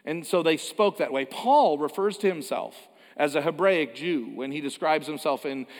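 A Canadian-born male pastor preaches in a loose, conversational way, stopping for self-deprecating jokes and starting lines over. His voice sits a little high for a man. The sound carries a long reverb from a large church. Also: moderate pace. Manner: conversational, self-deprecating asides, frequent restarts. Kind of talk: sermon.

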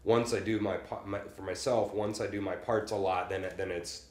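Casual male host, rambling and easygoing, lowish voice, roomy echo. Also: steady tone, fairly quick, a little mellow. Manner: laid-back, rambling, frequent backchannels. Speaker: podcast host. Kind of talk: casual podcast interview.

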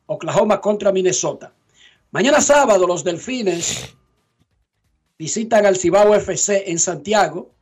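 Sports radio host, high voice, brisk no-nonsense reading of the games. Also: male voice. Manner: brisk, matter-of-fact announcing.

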